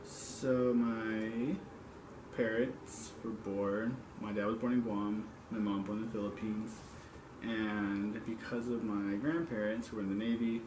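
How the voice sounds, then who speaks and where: Measured woman interviewee, flat pitch, echoey room with background noise.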